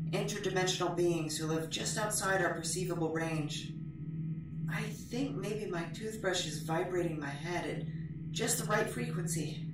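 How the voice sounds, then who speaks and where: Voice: medium pitch, natural voice. An actor playing a dry, rambling amnesiac podcaster confiding in a recorder in an echoey bathroom, as an audio drama. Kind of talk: conversational audio diary in a drama. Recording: very echoey bathroom, distant, noisy.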